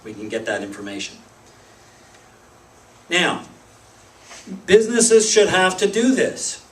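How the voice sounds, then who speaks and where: A man's voice: sits high, very animated, slow. A man giving an informal talk to a meeting, with many restarts and emphatic lists. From Pennsylvania, United States. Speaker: speaker at a group meeting. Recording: echoey room, voice from a distance.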